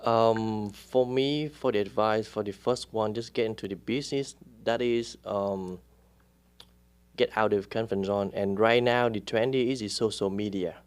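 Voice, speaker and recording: Halting low-voiced man searching for words. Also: steady tone, clear, resonant tone. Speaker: real estate agent, guest. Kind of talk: casual interview talk.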